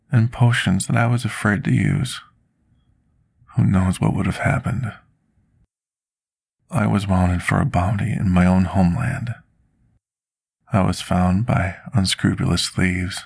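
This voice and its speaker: A man playing a scarred, remorseful potion master in an intimate ASMR roleplay, speaking formally and deliberately like someone confessing his past. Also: low voice, creaky, breathy edge, dry recording, exaggerated voice. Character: scarred male potion master, devoted, remorseful, soft-spoken servant.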